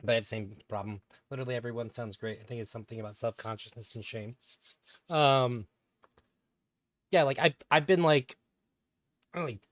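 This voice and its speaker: A man casually commenting on an old stream. He calls his own voice higher-pitched, rambles, and swears now and then. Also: brisk, long pauses, a bit bright, dry recording.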